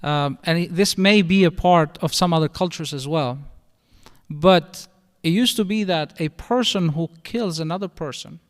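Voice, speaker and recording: A middle-aged imam with an Albanian accent teaches in an explanatory, conversational way. His voice sits a little high and sounds smooth and resonant. It carries a long reverb from the mosque hall. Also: normal speed. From northern Albania. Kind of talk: religious lecture.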